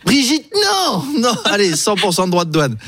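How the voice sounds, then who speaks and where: A man doing a comic chronicle on a radio morning show, playful with quick jokes and mocking asides. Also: high voice, wildly swooping pitch, fairly bright tone. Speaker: comic columnist.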